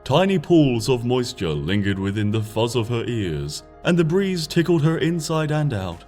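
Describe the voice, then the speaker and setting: A man reading a fan-written story aloud in a gentle, lilting storybook manner. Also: medium pitch, sing-song, moderate pace. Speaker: narrator. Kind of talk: fanfiction audiobook narration. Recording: soft music bed behind.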